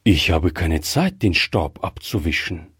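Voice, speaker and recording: A man voicing a cautious, gruffly kind old shopkeeper in a storybook, measured and hesitant. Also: medium pitch, sing-song, a little mellow, no echo, clean studio sound, full character voice. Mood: embarrassed. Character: grey-headed old male shopkeeper, cautious, guilt-ridden, gruffly kind. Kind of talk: acted storybook dialogue.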